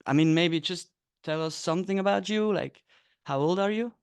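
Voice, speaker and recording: Smooth-voiced casual podcast host, man of thirty. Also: high voice, moderate pace, no echo. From Switzerland.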